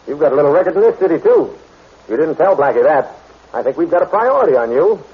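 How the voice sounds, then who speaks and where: An actor playing a gruff, easily riled police inspector in a 1940s radio crime drama, blunt and husky. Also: male voice, fairly high pitch, animated, fast talker. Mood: brusque. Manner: blunt, brusque. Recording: dull old radio recording.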